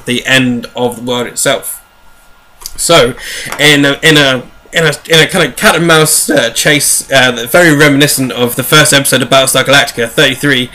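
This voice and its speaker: A man's voice: mid-range voice, pushed and bright, low-quality recording. A man hosting a podcast, recapping in a casual, rambling, jokey way with wry asides. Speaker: podcast host. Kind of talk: casual chatty book recap.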